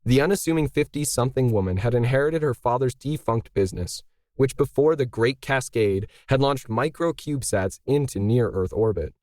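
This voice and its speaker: A man's voice: medium pitch, lively intonation, normal speed, a little mellow, no room sound. Male audiobook narrator, very dry sound. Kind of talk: scripted story narration.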